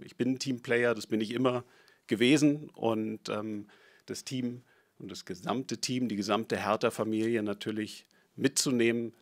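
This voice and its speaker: Eager man from Berlin at a presser. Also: fairly low pitch. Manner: eager, upbeat. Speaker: new sporting director. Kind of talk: press conference statement. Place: press conference room. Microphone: table microphone.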